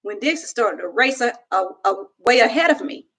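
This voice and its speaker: A young woman reading a children's book aloud at home into a webcam, with slow, expressive storytelling. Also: fairly high pitch. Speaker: reader. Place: home room, indoors.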